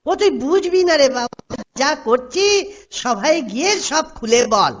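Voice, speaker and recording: A clever court jester in a cartoon, played by a woman, with a very high voice. He speaks calmly and with total self-assurance. The recording is slightly dull. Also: measured pace. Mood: calm, sly. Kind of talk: cartoon voice acting.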